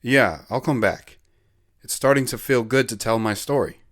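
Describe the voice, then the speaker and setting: A man's voice: medium pitch, normal speed, a bit bright, no room sound, studio quality, close to an everyday voice. A man playing an earnest war veteran who recounts his life story in a reflective, sentimental way in an audio drama. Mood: somber, reflective.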